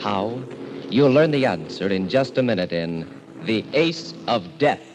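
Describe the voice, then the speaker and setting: Male vintage radio announcer, lowish voice, ominous and theatrical, loud music underneath. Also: animated, unhurried. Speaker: announcer and host. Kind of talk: 1940s radio mystery announcing.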